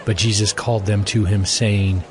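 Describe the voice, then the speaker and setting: An actor playing a solemn narrator of scripture, measured and formal, in a dramatized audio Bible. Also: male voice, fairly low pitch, little pitch movement, crowd noise, lightly acted. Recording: very loud background music.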